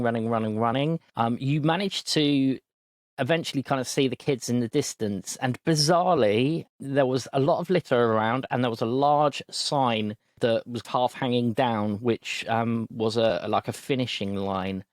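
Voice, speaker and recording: Male game master, mid-pitched, dry close mic, easygoing recap with ums. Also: no echo. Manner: relaxed recap with frequent ums. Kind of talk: recapping a tabletop game session.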